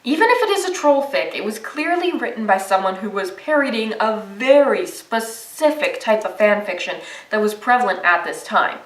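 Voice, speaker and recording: A woman's voice: medium pitch, expressive, normal speed, hardly any pauses, echoey room, far from the mic, rough recording. A young woman narrating a video essay in a casual, sarcastic and profane way.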